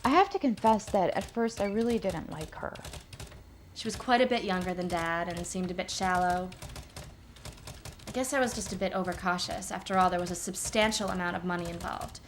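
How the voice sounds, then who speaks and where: An actress playing a guarded adult daughter recounting a family fight as if in a police interview. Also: female voice, on the low side, a little fast, lightly acted. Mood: measured, uneasy. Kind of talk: police interview monologue, film acting. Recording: clear background noise with typing.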